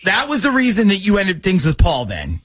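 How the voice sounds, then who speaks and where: A man's voice: high voice, huge pitch swings, a little fast, a bit bright. A man hosting a radio show, reacting expressively and casually to a caller's story. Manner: reactive, exclaiming.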